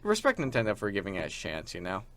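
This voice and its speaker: Young man, animated pitch, halting talk. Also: no echo. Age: young adult. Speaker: college radio show co-host. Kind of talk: casual chat about video games.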